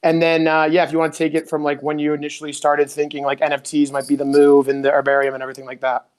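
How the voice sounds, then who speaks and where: A man with a somewhat high voice hosts a casual chat. He rambles, stringing thoughts together with fillers. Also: completely flat delivery, fast talker, clear, resonant tone. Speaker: host.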